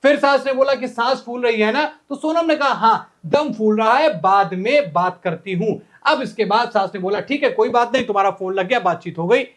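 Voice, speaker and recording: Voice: medium pitch, normal speed, few pauses. A news presenter giving an informal explainer commentary, talking to viewers conversationally as friends.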